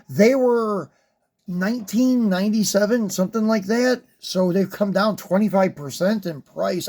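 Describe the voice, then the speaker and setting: A man who is hoarse from a sinus infection, chatting casually and rambling as he hosts a toy car unboxing, with many ums and uhs. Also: sits high, a little mellow. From West Virginia, USA. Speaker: die-cast collector and channel host.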